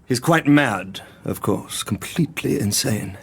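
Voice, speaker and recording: A man playing an earnest, grave doctor in an audio drama, speaking and narrating in an articulate, measured way. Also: lowish voice, animated, creaky, husky, heavily acted. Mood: grave urgency.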